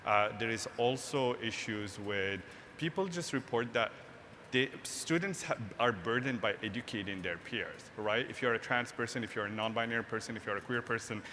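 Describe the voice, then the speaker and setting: A man's voice: mid-range voice, normal speed, pushed and bright. A man from Morocco, a tech community founder being interviewed on stage, talking earnestly and often checking in with "right?". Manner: earnest, frequent "right?" tag questions. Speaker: tech nonprofit founder, guest. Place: large hall, live event stage. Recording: echoey hall, faint noise.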